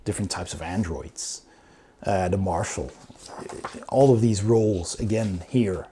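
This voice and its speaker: A man's voice: deep voice, a little slow, warm tone, slight background noise. A man hosting a review channel, talking casually and unscripted to viewers in an enthusiastic, emphatic way. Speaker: board game reviewer and channel host.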